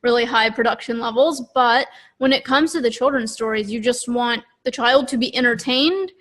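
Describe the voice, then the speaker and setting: Higher-voiced woman in casual podcast chat. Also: normal speed, a bit bright. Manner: informal, frequent "like" and "sort of". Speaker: podcast co-host. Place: recorded over an online video call.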